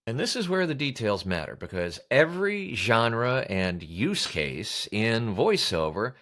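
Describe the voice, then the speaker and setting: A man's voice: mid-range voice, very animated, measured pace, a bit bright. A man giving scripted advice as a coach, in an emphatic, blunt, colloquial manner. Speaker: voice-over coach hosting an advice show. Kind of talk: scripted instructional talk to camera.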